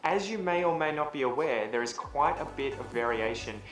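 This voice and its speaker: An Australian man hosting a scripted English-teaching video, explaining clearly and deliberately. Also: medium pitch, expressive, fairly bright tone. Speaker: English teacher and channel host. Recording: echoey room with background music.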